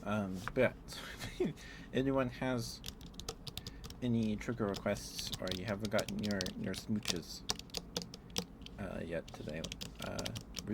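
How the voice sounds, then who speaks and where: Male ASMR streamer, lowish voice, affectionate and apologetic rambling chat, noticeable background noise with typing. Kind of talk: ASMR livestream chat with viewers.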